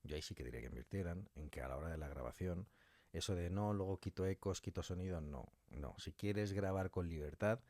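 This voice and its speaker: A man speaking as a guest in a casual interview, a writer and director explaining his craft in colloquial, animated talk. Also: low voice, no echo, studio quality.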